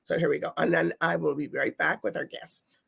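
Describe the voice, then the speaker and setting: A woman's voice: low voice, level tone, fairly quick, creaky, a bit bright, slight background noise. A woman hosting a talk show, chatting loosely and rambling between segments. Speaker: show host.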